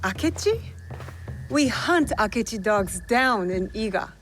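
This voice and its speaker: A woman's voice: medium pitch, lively intonation, unhurried, a bit bright. An actress playing a determined young female shinobi in a video game, speaking bluntly and with urgency. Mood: earnest appeal. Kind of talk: video game cutscene acting. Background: music.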